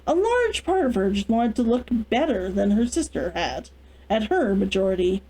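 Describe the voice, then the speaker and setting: A woman hosting a read-along, moving between casual chat and expressive story narration. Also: medium pitch, normal speed, breathy edge, low-quality recording. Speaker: author and host of a read-along series.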